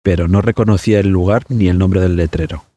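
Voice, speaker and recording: A man hosting a podcast, narrating a scripted story in a measured, suspenseful manner. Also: sits low, steady tone, mellow, no room sound.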